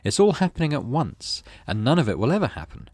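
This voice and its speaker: A man's voice: mid-range voice, fairly quick, no echo, studio quality. A man hosting a gaming channel, reading game narration aloud expressively and adding casual commentary. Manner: expressive storyteller reading with asides.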